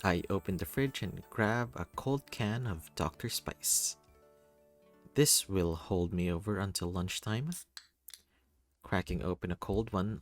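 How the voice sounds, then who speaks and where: Male narrator, low register, expressive first-person story reading with theatrical flair, faint music underneath. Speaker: reader of a visual novel.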